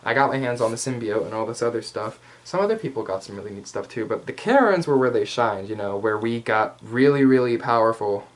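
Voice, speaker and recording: A man voicing a male hyena furry commander in a casual, rambling, playfully cocky ASMR roleplay, speaking straight to the listener with slangy asides and hesitant pauses. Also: mid-range voice, animated, close to an everyday voice. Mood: casual, boastful. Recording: clear background noise.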